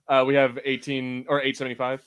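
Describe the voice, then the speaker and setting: A man's voice: medium pitch, completely flat delivery, quick pace, fairly bright tone, clear, resonant tone. A man talking in a casual, slangy and enthusiastic way as a podcast host at a movie watch party. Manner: slangy, enthusiastic, mildly sweary.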